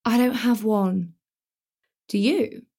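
A woman's voice: medium pitch, slow, warm tone, husky. A woman with a British accent playing a brash, easily distracted teenage girl in a naturalistic radio play, blunt and colloquial. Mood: impatient.

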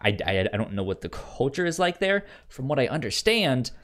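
This is a podcast co-host with a medium-pitched speaking voice in a casual discussion. The delivery is halting and conversational, with words repeated and sentences often begun again before they land. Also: sing-song. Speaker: podcast co-host reviewing anime. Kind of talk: casual chat.

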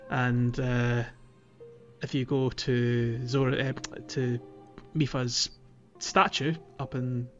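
A man's voice: medium pitch, level tone, slow pace, highs cut off, faint music behind. A man co-hosting a casual gaming podcast, talking in a loose, rambling way with lots of fillers and restarts. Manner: rambling, hesitant with many fillers. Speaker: podcast co-host.

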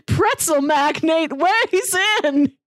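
A woman with a high, lively voice chats at an unhurried pace in a dry, close recording. She co-hosts a podcast and jokes along with playful mock-grand phrasing. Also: no room sound. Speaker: pop culture podcast co-host. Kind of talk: casual, joking podcast chat.

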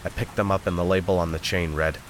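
A man's voice: deep voice, steady tone, fast talker, slight background noise, lightly acted. A man acting a bitter, lost convict who narrates his grim story in a gritty audio drama. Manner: gritty, brooding, foul-mouthed. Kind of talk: scripted first-person noir-style narration in an audio drama.

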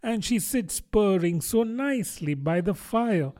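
Storybook young girl, played by a man: high, lilting, polite, earnest, curious chatterer. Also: lively intonation, a little slow, a little mellow. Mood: eager chatter. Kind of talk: storybook character voice.

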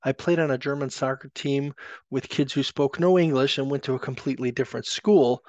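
Male podcast co-host, measured delivery, mid-range pitch, dry, slightly dull sound. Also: a little mellow, no echo. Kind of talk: scripted reflection.

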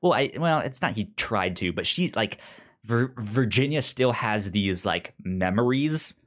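A man co-hosting a podcast in casual discussion, halting and often stammering over repeated words. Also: on the low side, expressive, a bit bright. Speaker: podcast co-host. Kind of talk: casual comic book discussion.

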